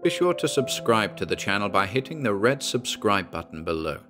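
A man delivering scripted horror drama narration in a grave, measured storytelling manner. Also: on the low side, sing-song, music in the background. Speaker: narrator and announcer.

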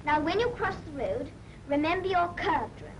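A woman's voice: high voice, expressive, moderate pace, a little hiss or hum, low-quality recording. An actress playing a British schoolmistress-like woman drilling a pupil in road safety, on a vintage film soundtrack. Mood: instructive, encouraging.

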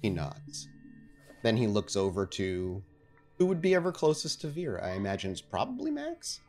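A man working as game master, narrating a tabletop game in halting, deliberate phrases. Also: on the low side, wildly swooping pitch, darkish tone, faint music behind.

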